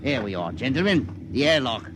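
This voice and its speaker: An actor playing a sly, mock-polite crew crook in a 1950s radio drama. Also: male voice, medium pitch, sing-song, moderate pace, natural voice. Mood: gloating. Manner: wheedling, mock-polite and gloating. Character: sly cockney spacer, oily crook, middle-aged man. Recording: old radio broadcast with loud hiss.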